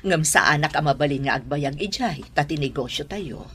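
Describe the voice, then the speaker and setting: A woman playing a caring mother and businesswoman, speaking gently in a radio drama. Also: low voice, lively intonation, normal speed, slight background noise. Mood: gentle.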